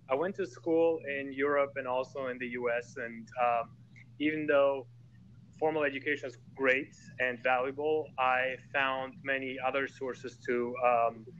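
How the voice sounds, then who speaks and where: A man who grew up in Germany, a guest in a casual online group chat, speaking thoughtfully with many pauses and fillers over a video call. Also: mid-range voice, steady tone, fairly bright tone, highs cut off, a little hiss or hum.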